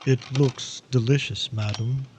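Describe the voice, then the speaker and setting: An actor playing a weary, courteous personification of Death with a hesitant, formal, mournful manner in a comic radio drama. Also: male voice, medium pitch, steady tone, a little slow, a little mellow, slight background noise, natural voice. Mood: courteous, glum. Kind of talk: comic old-time-style radio drama.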